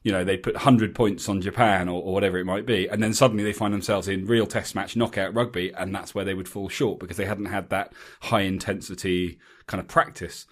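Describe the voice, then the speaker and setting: A man's voice: low-pitched, steady tone, brisk, hardly any pauses. An English man hosting a rugby podcast, chatting casually and rambling with frequent restarts and ums. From England. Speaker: podcast host. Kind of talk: casual chat.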